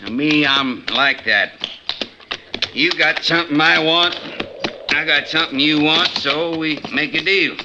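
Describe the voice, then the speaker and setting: An actor playing a wary, bossy outlaw gang leader in an old radio western, with a folksy, menacingly polite frontier manner. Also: male voice, on the high side, unhurried, lightly acted. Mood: brisk, self-assured. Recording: dull, noisy 1950s radio recording.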